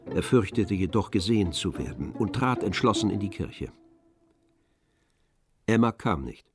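A man narrating a literary radio drama in a measured, composed, knowing manner. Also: low voice, expressive, faint music behind.

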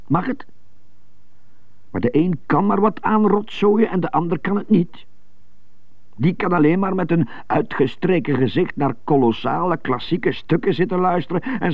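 An actor playing an indignant, brooding stuttering prisoner who mutters to himself in a radio drama monologue. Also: male voice, a little high, no room sound. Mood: agitated, indignant. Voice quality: stutter on some words. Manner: muttering, self-questioning monologue in short bursts. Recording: muffled narrow phone-like sound, music behind.

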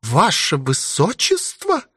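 A man's voice: high voice, wildly swooping pitch, slow pace, fairly bright tone, husky, no room sound, clean studio sound, pitched above the performer's own voice, heavily acted. A man voicing a polite, devoted young maid who speaks deferentially in an audiobook. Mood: shocked. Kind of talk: audiobook character voice.

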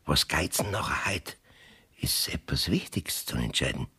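An actor playing an uneasy, crafty village judge in a Bavarian dialect radio play, gravelly and husky, blustering and evasive. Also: male voice, a bass voice, animated, measured pace, sharp tone, whispered, exaggerated voice. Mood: uneasy, probing.

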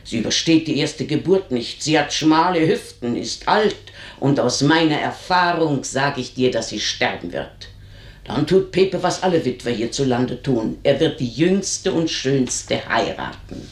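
An actress in a German radio drama playing a blunt, knowing old housemaid who admonishes insistently. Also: female voice, very deep voice, lively intonation, a little slow. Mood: urgent, persuasive. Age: older. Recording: roomy, echoing radio drama recording.

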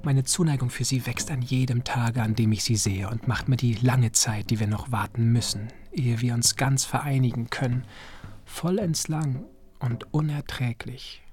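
An actor playing a courting young crown prince who reads love letters in a radio play, tender, earnest and a little shy. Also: male voice, medium pitch, fairly even intonation, normal speed, darkish tone, natural voice. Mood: tender longing. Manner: tender, hesitant.

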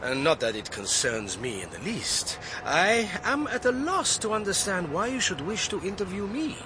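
A man's voice: high voice, sing-song, normal speed, noisy background. An actor playing a suave, affronted wealthy foreign yachtsman, florid and polished in a radio drama. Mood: affronted, amused. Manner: florid, theatrical, polished formal phrasing.

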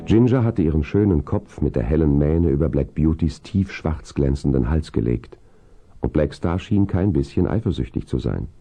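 A man narrating a 1970s children's audio drama in a measured, descriptive storytelling manner. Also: a bass voice, lively intonation, mellow, exaggerated voice.

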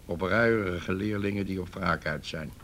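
An actor playing a gruff, irreverent police detective in a radio play, blunt and sardonic. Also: male voice, deep voice, moderate pace, a bit bright, a little hiss or hum. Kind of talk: Dutch radio play acting.

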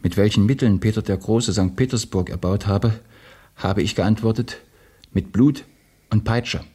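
An actor playing an idealistic young revolutionary, a candid and earnest former student, in a German radio drama. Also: male voice, fairly low pitch, unhurried, warm tone, breathy edge. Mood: fervently earnest.

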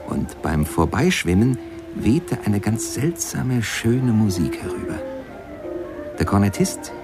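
An actor playing a reflective former steamboat pianist who tells his memories in measured, lyrical radio drama narration. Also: fairly low pitch, big pitch swings, music in the background, full character voice. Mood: wistful storytelling. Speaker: narrator and protagonist of a radio play.

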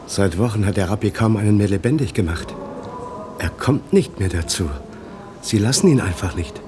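Guy playing anxious old man in a radio play, kinda low voice, insistent and halting, noisy background. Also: lively intonation, natural voice. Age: older. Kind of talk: German radio play acting.

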